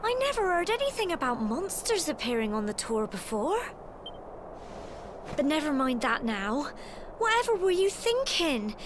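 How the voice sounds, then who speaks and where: A woman playing a spirited, warm-hearted young village heroine in a video game cutscene, with a British accent and an expressive, plucky delivery. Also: sits high, normal speed, background noise. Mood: stern, worried.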